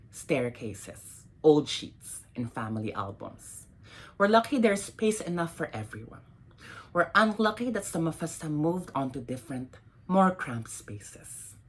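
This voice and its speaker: A woman poet with a low, husky voice gives a dramatic reading of her work. Her pitch moves widely from phrase to phrase, and she sets out each line with measured, deliberate pauses. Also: a bit bright. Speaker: poet reading own poems.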